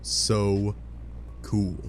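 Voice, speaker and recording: A man's voice: on the low side, flat delivery, extremely slow, a little hiss or hum. A man playing a wry, theatrical narrator in a fan-dubbed webcomic, with an arch scripted delivery. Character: deadpan, wry omniscient game-style narrator. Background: music.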